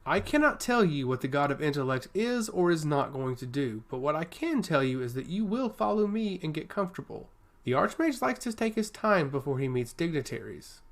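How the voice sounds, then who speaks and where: A man voicing a genial old robed temple liaison with a booming, courteous, unhurried delivery. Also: very animated. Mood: gently insistent.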